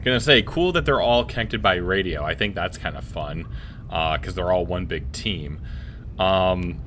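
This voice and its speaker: A man's voice: deep voice, normal speed, fairly bright tone, slight background noise. A man giving casual, reactive commentary while playing a game, with wry, mock-aggrieved asides. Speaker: game streamer, let's play commentator.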